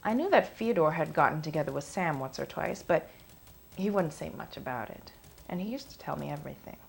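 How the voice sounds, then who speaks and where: A woman's voice: low voice, animated, a little fast, gravelly, slight background noise. An actress playing an aggrieved, curious ex-girlfriend giving naturalistic testimony about her lover in a film drama. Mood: wry, curious.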